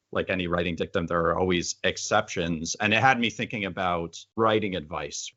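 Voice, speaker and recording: A man co-hosting an interview in a conversational style, with bookish, deliberate wording. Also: sits low, a bit bright, muffled recording. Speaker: interviewer, co-host.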